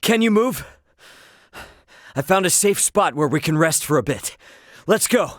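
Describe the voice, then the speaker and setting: A man's voice: on the high side, expressive, measured pace, fairly bright tone, no echo, studio quality. An actor playing an easygoing, cocky young male starship pilot and swordsman in a video game, with breezy, slangy, informal delivery. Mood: concerned, reassuring.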